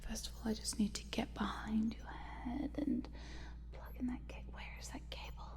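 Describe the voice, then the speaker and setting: A woman playing a quirky, lonely scientist in a whispered ASMR roleplay, softly muttering to herself as she tinkers. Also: a little high, little pitch movement, moderate pace, gravelly. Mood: focused, puzzled. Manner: soft, hesitant, muttering to herself. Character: quirky lonely female scientist repairing a robot in her lab.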